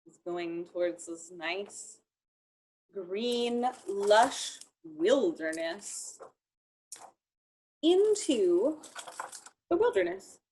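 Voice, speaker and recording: A woman reading a picture book aloud to young children in an expressive, encouraging way, talking directly to them. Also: mid-range voice, drawn-out words, long pauses, a little hiss or hum, low-quality recording.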